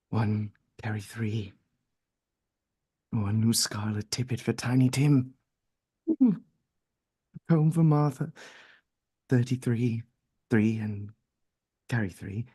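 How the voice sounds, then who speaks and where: Man acting a meek, husky-voiced clerk. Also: mid-range voice, slow, pauses often, mellow, no echo, studio quality. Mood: absorbed, musing. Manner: deferential, hesitant, eager to please. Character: meek, kindly, put-upon male clerk. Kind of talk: old-time radio drama acting.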